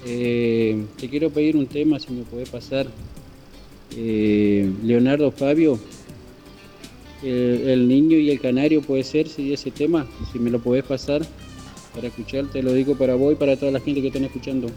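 Hesitant Argentine man with a dark voice. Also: medium pitch, fairly even intonation, soft background music. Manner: hesitant, halting with fillers. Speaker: caller. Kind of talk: casual phone-in chat.

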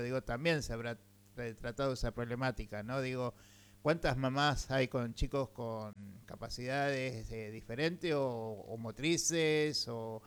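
A man's voice: mid-range voice, smooth. An Argentine man hosting a radio interview, asking long rambling questions full of fillers and tag questions. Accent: Argentine Spanish. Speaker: radio host.

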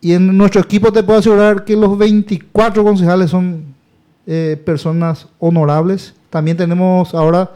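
A man's voice: sits high, level tone, moderate pace, mellow. A man, a local politician talking about his campaign in a casual, rambling, emphatic way that repeats itself.

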